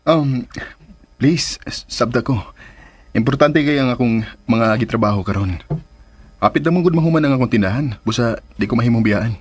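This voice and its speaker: Man playing busy young businessman, husky, Bisaya Filipino accent, apologetic, radio drama, medium pitch. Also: moderate pace, long pauses, dry recording, natural voice. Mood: apologetic, pleading.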